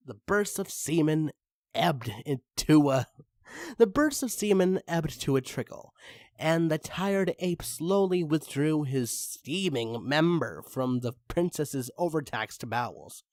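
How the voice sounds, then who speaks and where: A man's voice: a little high, lively intonation, slow, darkish tone, dry recording, clean studio sound. A man reading fan fiction aloud in a theatrical, amused way, stumbling now and then. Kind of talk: dramatic story reading with amused asides. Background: music behind the voice.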